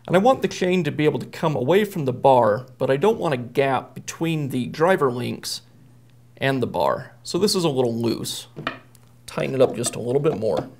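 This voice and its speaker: A man's voice: medium pitch, expressive, normal speed, a little mellow, a little hiss or hum. A man in his thirties, a repair technician, explaining a hands-on job step by step in a plain, methodical way. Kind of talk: step-by-step how-to demonstration. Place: indoor workshop at a workbench.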